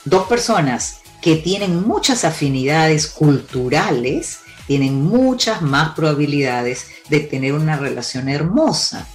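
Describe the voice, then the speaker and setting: A woman speaks in a low, deep register. She gives relationship advice with emphatic, sweeping declarations, telling lively stories to make her point over faint background music. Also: a little slow, hardly any pauses. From born in Cuba, raised in Peru. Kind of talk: confident advice-giving interview talk.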